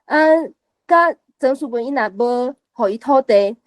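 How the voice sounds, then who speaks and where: A woman's voice: fairly high pitch, lively intonation, unhurried, no echo. A woman guest sharing her thoughts on a story, punctuating her points with short tag particles. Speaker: reading group guest. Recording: faint music behind. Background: faint music.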